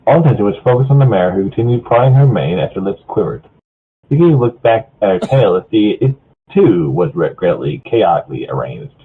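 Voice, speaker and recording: A man with a husky voice narrates a story informally, stumbling over lines and rereading them. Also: on the low side, big pitch swings, fairly quick. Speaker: narrator of a group fan fiction reading. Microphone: online voice chat. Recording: muffled, phone-like voice chat audio.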